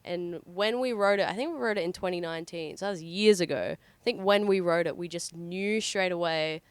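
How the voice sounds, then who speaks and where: A woman's voice: lowish voice, brisk, clear, resonant tone, no room sound. A young woman, a band's singer, chatting casually in an interview, with frequent filler words. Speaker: band singer.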